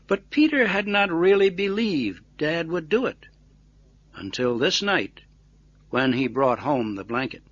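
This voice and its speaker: Male story reader: crisp forceful tone, lively intonation, careful enunciation for learners. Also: fairly high pitch, measured pace, lo-fi sound. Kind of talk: scripted story narration for English learners.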